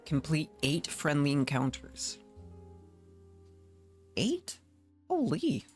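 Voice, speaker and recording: Guy streaming a game, talks slow n mutters to himself in little bits, music playing behind him. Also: a little high, wildly swooping pitch. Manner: muttering to himself in short fragments. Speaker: gaming streamer. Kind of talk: casual gameplay commentary, thinking aloud. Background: background music.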